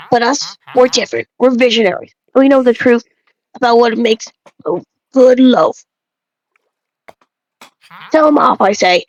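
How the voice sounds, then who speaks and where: A woman with a distinctly high voice reads scripted character dialogue aloud. She delivers it as an amateur dramatic reading, like a monologue addressed to one listener. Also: highs cut off.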